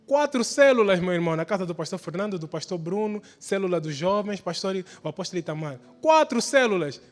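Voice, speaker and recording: Guy preaching in a big echoey church hall, pretty high voice, keeps urging with questions and repeats. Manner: exhorting, repetitive, rhetorical questions. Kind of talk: church sermon. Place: large church hall during a service. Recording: reverberant hall sound.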